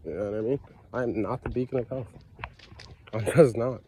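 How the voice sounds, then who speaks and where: A man's voice: medium pitch, moderate pace, dark tone, slight background noise. Earnest congested man sharing his story. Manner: earnest, conversational, many fillers and repeated phrases. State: congested with a cold, stuffy nose. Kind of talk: casual personal monologue.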